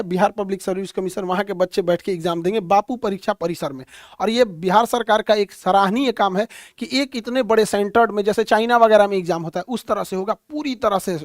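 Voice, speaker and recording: A man's voice: high voice, no echo, clean studio sound. A man with a Bihari accent lecturing informally as a teacher, in a blunt, colloquial, mocking way with rhetorical questions. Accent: Bihari Hindi. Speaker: teacher and coaching instructor. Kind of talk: informal classroom lecture with comic asides.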